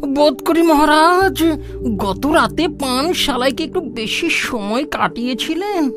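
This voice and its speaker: A woman voicing a smug court adviser who speaks in a mocking, unhurried way. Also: high voice, animated. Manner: mocking, slow-spoken. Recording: voice over loud background music. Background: music.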